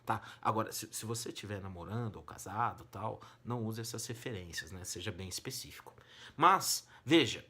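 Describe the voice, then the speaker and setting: A man's voice: lowish voice, animated, brisk. A man with a Brazilian accent giving an informal lesson to students, speaking emphatically and colloquially, with rhetorical questions. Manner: emphatic, colloquial, rhetorical questions, swinging between stressed and hushed phrases. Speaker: humanities teacher and exam-prep host. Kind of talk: informal educational monologue to students.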